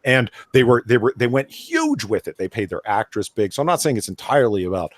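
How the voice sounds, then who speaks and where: A man's voice: mid-range voice, fairly quick, no echo, clean studio sound. A man hosting a casual live panel chat, opinionated and talking in bursts with frequent restarts. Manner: opinionated, animated, often restarts. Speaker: podcast host.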